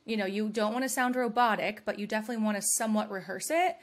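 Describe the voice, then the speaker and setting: A woman's voice: mid-range voice, fast. Woman, young adult, from California, podcast host, casual advice talk, upbeat.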